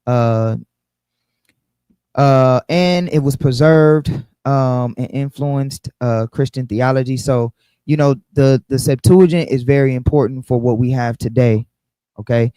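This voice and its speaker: Mellow-voiced man teaching scripture. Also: mid-range voice, a little slow, smooth, no echo. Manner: checks in with "right?" often. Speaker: teacher leading a bible study. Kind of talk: casual teaching talk.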